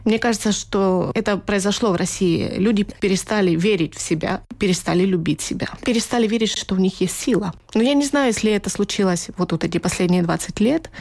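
A woman's voice: mid-range voice, fairly quick, hardly any pauses, darkish tone. A woman writer from Ukraine answering interview questions in a reflective, personal, conversational way, repeating phrases for emphasis.

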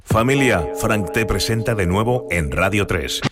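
A man's voice: lowish voice, animated, a little slow. A man reading a punchy, upbeat scripted promo for radio shows. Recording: loud electronic music with scratching.

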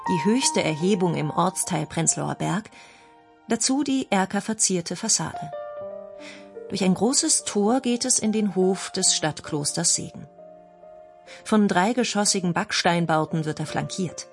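A woman's voice: mid-range voice, animated, measured pace, music in the background. A woman reads a scripted documentary narration as a radio feature narrator.

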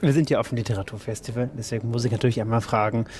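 A man working as a radio reporter, asking relaxed, curious questions. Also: medium pitch, rapid-fire, slight background noise. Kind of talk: reporter interview.

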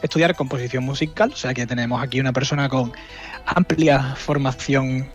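A man giving an informal, explanatory radio music segment, often pausing mid-phrase and tagging his points for agreement. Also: medium pitch, fairly even intonation. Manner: halting, explanatory, seeks agreement with tags. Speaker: radio music section contributor. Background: music playing underneath.

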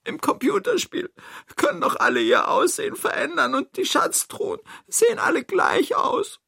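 A man's voice: very high-pitched, expressive, fairly quick, no room sound, clean studio sound, pitched above the performer's own voice. A man voicing a sensitive, hurt young child in a children's story, with a plaintive, whiny manner. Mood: tearful, hurt.

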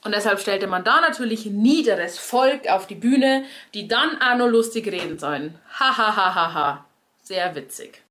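A woman's voice: medium pitch, expressive, normal speed, fairly bright tone, slight background noise. A woman with a Bavarian accent explaining in a conversational, wry way, addressing her audience directly as a cabaret performer would.

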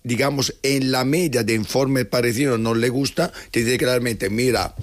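A man speaking as a radio panelist, agreeable and quick with short affirmations. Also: mid-range voice, fairly even intonation. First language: Italian.

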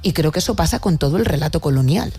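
A woman from a discussion panel with a distinctly low-pitched voice and a Castilian accent from Spain. She explains her points conversationally. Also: expressive, fast, mellow, husky. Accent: Spanish from Spain.

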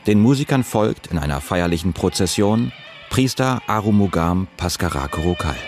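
Calm male narrator, very low pitch, documentary read over music. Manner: calm, measured reading.

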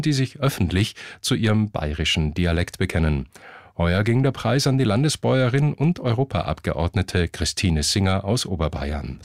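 A man reads the news on the radio in a low voice. The sound is dry and close, and he delivers scripted bulletin items as a presenter. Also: dry recording.

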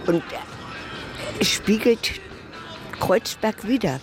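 An older man, a longtime local resident, answering a street interview in a slow, reflective way. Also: high voice, lively intonation, music in the background.

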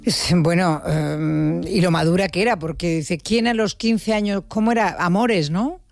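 An encouraging woman hosts a radio interview in a mid pitch. Her voice sounds dry and close, with faint music playing underneath. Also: a little slow, vocal fry, darkish tone, no room sound. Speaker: radio host.

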